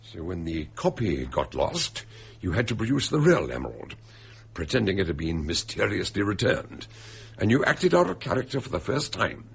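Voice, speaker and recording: A man acting in a radio drama as an eccentric, shrewd detective, expounding a murder with deliberate, theatrical emphasis. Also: low voice, sing-song, creaky, slightly rough, full character voice. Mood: shrewd, assured.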